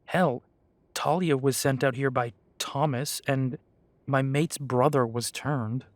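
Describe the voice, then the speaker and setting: A man performs a young half-breed werewolf for an audio drama. His voice sits at a middle pitch, unhurried and recorded very dry. He sounds guarded and blunt, with a hesitant, self-doubting defensiveness. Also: steady tone, no room sound, lightly acted. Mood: doubtful, defensive. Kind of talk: scripted roleplay audio drama.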